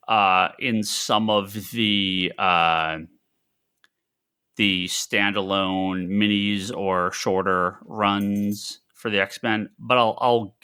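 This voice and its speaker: Man, low-pitched, bright forceful tone, casual podcast panelist, informal and explanatory. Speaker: podcast panelist and comic shop retailer. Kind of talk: casual comic book discussion.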